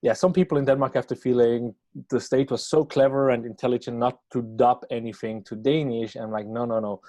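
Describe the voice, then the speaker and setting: A Swiss German man hosts a podcast in loose, conversational English with a Swiss German accent. He talks in a halting, rambling way at a medium pitch. The sound is dry, with no room echo. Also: fairly even intonation, mellow. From Switzerland. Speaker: podcast host. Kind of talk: casual interview chat.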